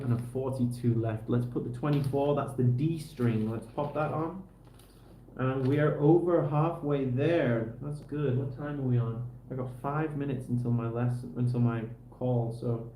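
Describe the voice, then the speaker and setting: A man's voice: mid-range voice, warm tone. An easygoing man chatting casually to livestream viewers and to himself as he does hands-on work, in a tutorial style. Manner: easygoing, talks to himself and viewers while working. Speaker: livestreamer fixing a guitar. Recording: roomy echo, faint background noise.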